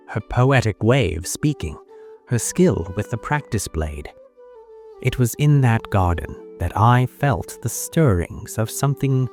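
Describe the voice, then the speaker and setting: A man playing a wistful young noble heir, telling his story in measured, formal first-person narration for an audio drama. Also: lowish voice, darkish tone. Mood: wistful, regretful. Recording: music under the voice. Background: music.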